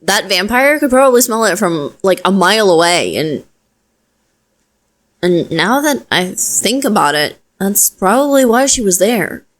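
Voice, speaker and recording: A woman's voice: mid-range voice, sing-song, a little slow. Woman acting a caring, frank witch rescuer. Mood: incredulous. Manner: gentle, reassuring, conversational. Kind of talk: intimate audio roleplay acting, addressing listener.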